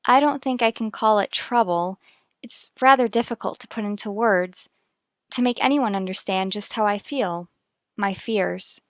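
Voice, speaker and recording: An actress performs a troubled, earnest mother from a vintage radio serial. Her woman's voice sits at a middle pitch in a very dry space. She confides carefully and hesitantly, choosing her words. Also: moderate pace, no room sound, close to an everyday voice. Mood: troubled, confiding. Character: worried, earnest young mother confiding about her son. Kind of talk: vintage radio soap opera acting.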